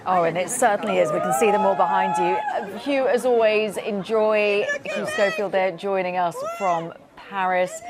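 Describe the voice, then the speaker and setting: A female news presenter introducing stories and handing over to a correspondent, clear and scripted. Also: on the low side.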